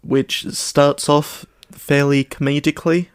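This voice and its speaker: Slow, measured man in casual podcast chat. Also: a little high, little pitch movement, clean tone, dry recording, clean studio sound. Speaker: podcast co-host.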